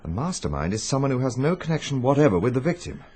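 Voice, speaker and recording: An actor with an English accent playing an anxious, urgent amateur sleuth in a radio drama, with a husky voice. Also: male voice, medium pitch, sing-song, quick pace, a little mellow. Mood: reasoning.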